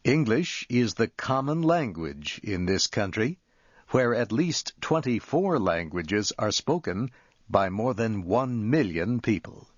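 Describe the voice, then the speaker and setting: A man reading a scripted educational narration for English learners in a deliberate, measured, clearly enunciated manner. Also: lowish voice, very animated, dry recording. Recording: muffled lo-fi audio.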